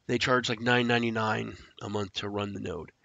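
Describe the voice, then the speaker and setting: A man who is sick and stuffed up, casually walking through a screen-share tutorial, rambling with fillers and clearing his throat. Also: on the low side, steady tone, brisk, bright, forceful tone, dry recording. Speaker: crypto tutorial host. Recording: dry, dull lo-fi audio.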